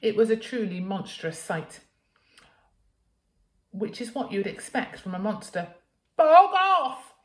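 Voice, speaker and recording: A woman's voice: medium pitch, measured pace, pauses often, darkish tone. A woman reading a children's story aloud as a teacher, with dramatic, emphatic expression. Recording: echoey room.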